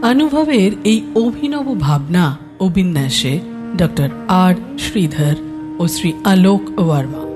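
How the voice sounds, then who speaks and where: A woman reading a scripted podcast announcement. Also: low voice. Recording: music bed behind the voice.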